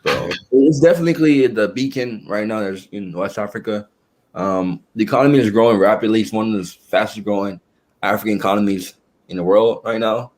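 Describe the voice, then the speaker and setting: A young man with a somewhat low voice, speaking as a guest in a relaxed interview. He talks in halting stretches, full of fillers and restarts, as he works out what he means. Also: poor audio. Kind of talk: casual conversational interview.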